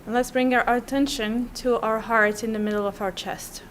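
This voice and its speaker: A woman guiding a breathing meditation slowly and soothingly, with long pauses between instructions. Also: a little high, steady tone, slight background noise. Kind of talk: guided meditation.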